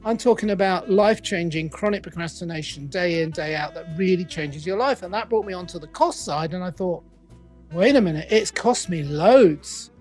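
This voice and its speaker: A middle-aged man from England with a British accent, a coach telling his story as a guest in a conversational interview, animated and playing out his own quoted thoughts. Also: sits high, normal speed, soft background music. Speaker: guest, accountability coach and author.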